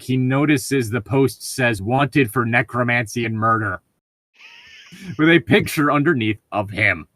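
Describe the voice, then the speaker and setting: A man's voice: medium pitch, a bit bright, no room sound. A man reading a tabletop gaming story aloud as a co-host, informal and amused.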